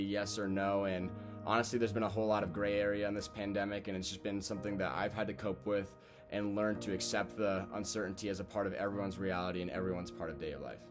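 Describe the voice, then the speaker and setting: A man of twenty, a university student, speaking earnestly and thoughtfully about his own experience. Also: lowish voice. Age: 20s. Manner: earnest, thoughtful, uses fillers. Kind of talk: reflective testimonial. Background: music.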